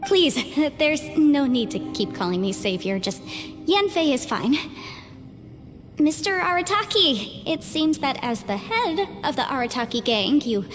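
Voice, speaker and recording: Articulate young woman for a game: high, courteous, analytical, faint music behind. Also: big pitch swings, in a large hall. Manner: formal, measured wording. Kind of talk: video game cutscene acting.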